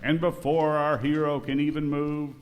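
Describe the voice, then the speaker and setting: A man narrating an amateur radio skit from a script in dramatic, drawn-out announcer fashion. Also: fairly high pitch, little pitch movement, highs cut off, natural voice. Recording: noisy reverberant hall, live recording.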